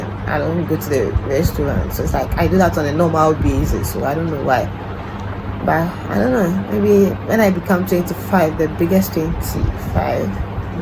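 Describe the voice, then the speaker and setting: A woman in her twenties chatting casually in a home vlog, rambling and self-deprecating, her voice groggy and deep from the morning. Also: low-quality recording. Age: 20s. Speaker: vlogger. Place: at home, indoors. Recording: noticeable background noise. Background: noise.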